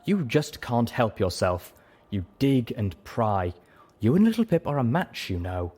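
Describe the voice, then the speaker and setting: A man's voice: mid-range voice, big pitch swings, measured pace, darkish tone. A man voicing an exasperated, scolding adult female pony in an argument, as part of a story reading. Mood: huffy. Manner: huffy, scolding.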